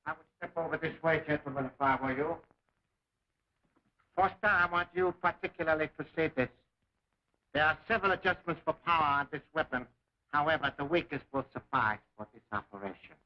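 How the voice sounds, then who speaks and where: A man's voice: a little high, a little fast, close to an everyday voice. A man playing a cold, commanding enemy scientist in a 1940s film serial, speaking formally and precisely. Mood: grandiose, menacing. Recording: dull lo-fi 1940s serial soundtrack.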